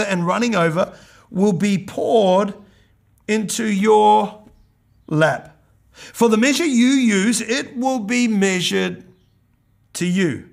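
An older male pastor preaching a sermon in a hoarse, croaky voice, reading scripture earnestly and with emphasis. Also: high-pitched.

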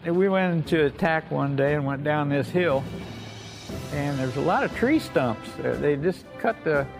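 An older American man, a war veteran, telling his memories informally in a folksy, halting way with repeated phrases. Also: on the high side, expressive, vocal fry, music in the background, rough recording. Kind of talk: informal oral-history storytelling.

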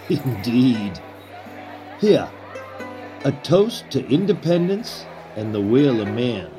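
A man acting a suave, proud Confederate conspirator in a period drama, smooth-talking and probing, with music underneath. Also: medium pitch, sing-song, slow, dark tone, a faint crowd. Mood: genial, sly.

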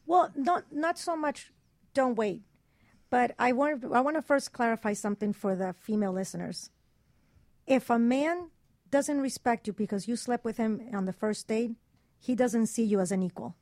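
Blunt, higher-voiced woman on talk radio. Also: moderate pace, a little mellow, no room sound, studio quality. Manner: blunt, emphatic. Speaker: radio show co-host. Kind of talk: opinionated talk radio discussion.

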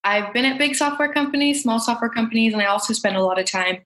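A woman, a podcast guest talking in an upbeat, lively way. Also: a little high, fast. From United States. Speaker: guest, tech worker and writer. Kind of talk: casual conversation. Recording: roomy echo.

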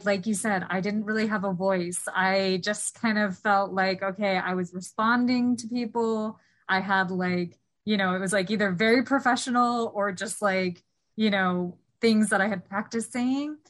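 A woman's voice: medium pitch, a little slow, a bit bright. Woman host, conversational interviewer, chatty and filler-heavy. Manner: chatty, many fillers like "you know".

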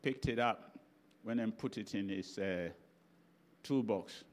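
A man's voice: lowish voice, takes it slowly. Older Ghanaian man preaching. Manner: chatty anecdotal preaching with restarts and laughs. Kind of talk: sermon storytelling.

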